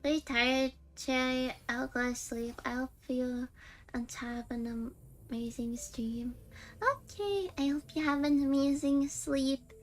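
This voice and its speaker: A woman's voice: sits high, slow. A woman hosting a livestream, chatting casually as she plays a game. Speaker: livestream host. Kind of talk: casual game-stream chat. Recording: game music behind the voice. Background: game music and character voices.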